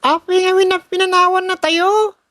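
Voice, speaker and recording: Woman playing a very high, wistful little boy. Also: little pitch movement, moderate pace, a bit bright, dry recording. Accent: Ilocano Filipino. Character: wistful little boy missing his mother. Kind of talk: amateur radio-style drama acting.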